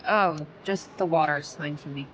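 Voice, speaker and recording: Woman acting a nervous, chatty designer, slightly low voice. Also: normal speed, a little hiss or hum, close to an everyday voice. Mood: apologetic, concerned. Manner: rambling, awkward, hesitant. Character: nervous, chatty young woman interior designer, earnest and apologetic. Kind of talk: acted audio romance drama dialogue.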